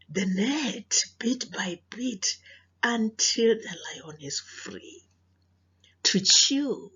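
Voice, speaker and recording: Husky South African woman, slow lively storyteller. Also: fairly low pitch, muffled recording. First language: Sepedi. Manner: didactic, repeats phrases, questions listeners. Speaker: storyteller and teacher reading aloud to children. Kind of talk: children's read-aloud with moral lesson.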